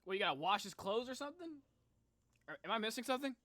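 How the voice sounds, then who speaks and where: Bright, lively reactor with animated swings. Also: mid-range voice, fast talker, a little hiss or hum. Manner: excitable, rambling, slangy. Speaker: YouTube reactor commenting on game lore. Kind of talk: casual reaction commentary.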